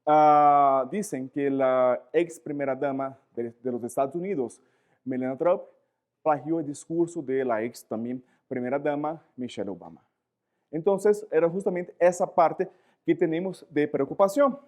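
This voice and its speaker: A man's voice: fairly high pitch, normal speed. Portuguese-accented man lecturing animatedly. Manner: animated, searching for words, frequent rhetorical questions. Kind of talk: live lecture to students.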